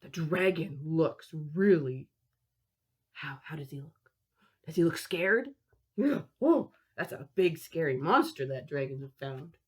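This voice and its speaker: Expressive, slow-paced woman reading to children. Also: sits low, slightly rough. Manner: expressive, gasping, drawn-out key words, child-directed. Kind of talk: animated picture-book read-aloud.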